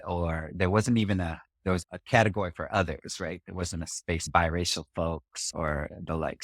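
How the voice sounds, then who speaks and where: A middle-aged man from Singapore with a very low, deep voice, speaking as a podcast guest. He tells his story in a measured, reflective way, pausing on fillers. The sound is dry and close, with no room around it. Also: dry recording. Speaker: podcast guest, community organizer. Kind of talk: conversational storytelling interview.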